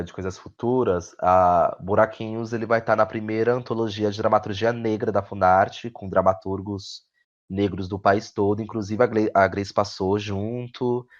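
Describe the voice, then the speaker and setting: A man with a Brazilian accent gives reflective interview answers, searching for words, repeating phrases and often ending on tag questions. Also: fairly low pitch. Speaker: guest playwright and actor. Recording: dull, lo-fi audio.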